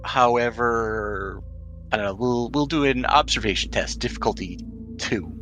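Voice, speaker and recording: A man's voice: medium pitch, moderate pace, fairly bright tone, soft background music, poor audio. A man acting as game master, describing scenes for a tabletop roleplaying game in a conversational way.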